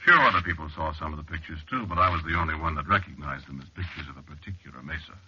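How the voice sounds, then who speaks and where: An actor playing a wry, stubborn researcher, telling his story in a measured, conversational way on a 1940s radio drama. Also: very deep voice. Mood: wry, confiding. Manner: conversational, measured, dry understatement. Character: male cliff-dwelling researcher, wry, confiding, stubbornly unafraid. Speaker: narrator and lead actor. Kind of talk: 1940s radio drama first-person narration. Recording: muffled, noisy vintage radio recording.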